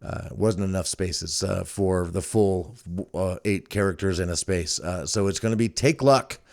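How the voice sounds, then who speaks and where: Smooth low-voiced man hosting a podcast. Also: measured pace, darkish tone. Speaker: podcast host. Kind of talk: casual solo podcast chat.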